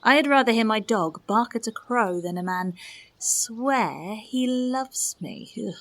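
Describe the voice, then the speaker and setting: A woman's voice: on the high side, lively intonation, a little slow. A woman playing a witty, scornful young noblewoman in a Shakespearean radio play. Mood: mocking, amused. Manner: witty, scornful, teasing delivery with laughter. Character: sharp-tongued, mocking, spirited young noblewoman.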